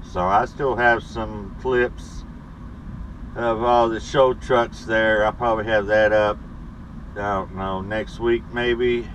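A middle-aged man talks from inside his truck cab, filming himself with a dash camera. He speaks slowly, with a slightly low voice, and rambles on with fillers and pauses. A clear engine and air conditioning hum runs under everything he says. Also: steady tone, a bit bright, rough recording. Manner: laid-back, rambling, with frequent pauses and fillers. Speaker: truck driver vlogger. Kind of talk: casual weekly vlog. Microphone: camera mounted on the dashboard.

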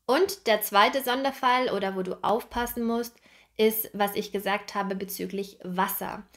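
Slow, clear young woman teacher. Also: medium pitch, steady tone, fairly bright tone. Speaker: German teacher. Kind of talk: scripted grammar lesson to camera. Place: bright indoor room, seated at a table.

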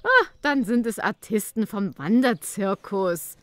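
Woman playing a haughty countess, swooping pitch. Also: animated, a little slow, dry recording, close to an everyday voice. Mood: haughty, sceptical. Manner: imperious, irritable, aristocratic. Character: haughty, dismissive countess absorbed in her painting. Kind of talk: audio drama character reading.

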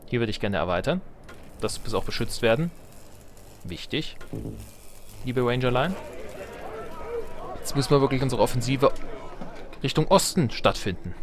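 A man's voice: medium pitch, lively intonation, moderate pace, pauses often, a little hiss or hum. A man giving casual let's play commentary on a strategy game, thinking aloud as he plays.

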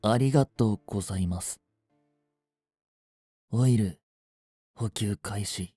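A man playing a polite, devoted android servant overcome by feverish love in a Japanese romantic audio drama, speaking formally in breathy, halting phrases. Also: on the low side, dark tone, no echo, soft background music. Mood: polite, flat.

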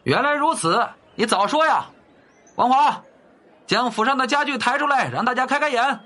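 Man voicing a high, booming young Chinese nobleman. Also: animated, moderate pace, heavily acted. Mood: confident, cheerful. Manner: loud, projecting, courteous public address. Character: confident young nobleman addressing a crowd, booming.

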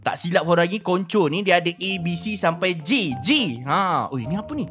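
A young Malaysian man hosts a gaming video in a bright, punchy voice. He comments playfully and exclaims often, doubling up words as he roleplays along. Faint music plays behind him. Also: high voice, animated, moderate pace. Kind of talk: excited roleplay game commentary.

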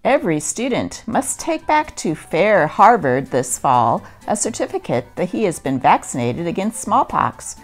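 Female narrator, slightly low voice, expressive theatrical newspaper reading, faint music behind. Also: unhurried, hardly any pauses. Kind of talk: scripted narration of old newspaper stories.

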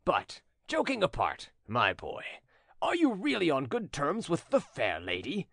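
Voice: medium pitch, huge pitch swings, measured pace, creaky, a bit bright, no room sound, clean studio sound, voice pushed up, full character voice. A narrator playing a jovial, mocking, worldly treasurer with a bantering, exclamatory manner in a storybook.

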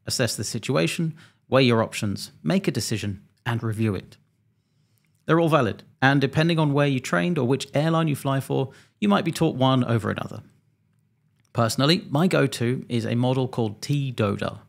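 A man around forty, an airline pilot, presenting a scripted explainer in a measured, methodical, slightly dry way, close to a desk microphone. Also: medium pitch, vocal fry. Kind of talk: scripted explainer narration to camera. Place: small home studio indoors.

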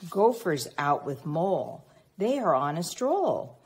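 A woman reading a picture book aloud expressively to young children, prompting them with teacherly questions and pauses. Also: low voice, sing-song, a little mellow, slightly rough. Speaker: children's read-aloud reader.

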